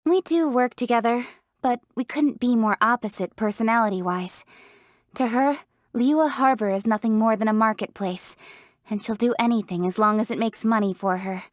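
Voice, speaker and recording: Fantasy game role: proud, hardworking young female leader played by an actress, highish voice, articulate and self-assured, dry close studio sound. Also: normal speed, no echo, natural voice. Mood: measured, candid. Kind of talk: scripted video game character voice lines.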